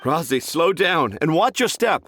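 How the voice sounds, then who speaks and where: Gentle, expressive remorseful father. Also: fairly high pitch, unhurried, faint music behind. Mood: concerned. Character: gentle, remorseful adult father, humble and caring. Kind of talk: anime game voice acting.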